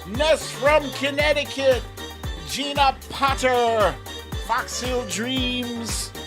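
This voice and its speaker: A man's voice: extremely high pitch, music in the background. A middle-aged American man hosting a casual livestream, chatting informally and playfully with his viewers. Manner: informal, playful, drawn-out shout-outs to viewers. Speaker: livestream show host.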